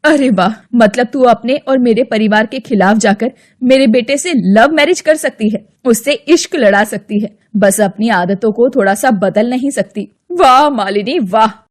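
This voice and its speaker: A woman voicing a stern, sharp-tongued middle-aged mother-in-law who scolds and mocks. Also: on the high side, measured pace, few pauses. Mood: sarcastic. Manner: scolding, sarcastic.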